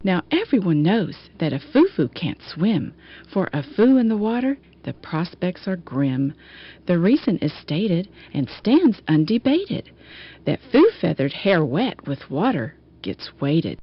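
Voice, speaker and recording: A woman narrates a rhyming picture book for children. Her delivery is expressive and melodic, rising and falling freely as she tells the story. The recording is a little dull and lo-fi. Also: fairly low pitch, unhurried, husky. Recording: dull, lo-fi audio.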